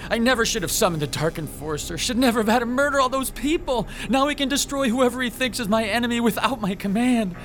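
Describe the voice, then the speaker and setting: An actor playing a timid, bullied comic shop owner, hesitant and fretful, in a radio drama. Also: male voice, very high-pitched, lively intonation, fast talker, few pauses, a bit bright, faint music behind. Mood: despairing, frantic.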